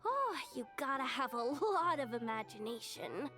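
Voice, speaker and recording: Squeaky-high, chirpy little game companion. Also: female voice, big pitch swings, normal speed, pushed and bright, faint music behind, exaggerated voice. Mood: cheerful, impressed. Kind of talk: video game voice acting.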